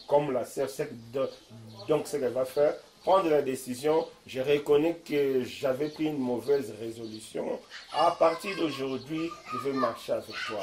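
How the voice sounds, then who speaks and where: A man preaching informally in a home, emphatic and swinging from loud declarations to soft asides. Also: medium pitch. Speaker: visiting preacher. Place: room in a private home. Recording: roomy echo.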